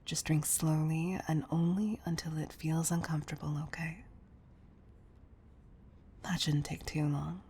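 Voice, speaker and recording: A woman playing a protective, doting CEO, tough but tender, in an intimate audio roleplay, husky and breathy, often close to a whisper. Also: deep voice, little pitch movement, slight background noise. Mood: relieved, doting, firm. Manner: intimate, deliberate delivery with pet names.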